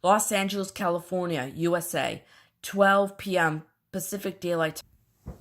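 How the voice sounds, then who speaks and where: A woman reading a scripted emergency broadcast as an announcer, in formal, solemn, measured phrases. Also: fairly low pitch. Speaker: emergency alert announcer and scenario narrator.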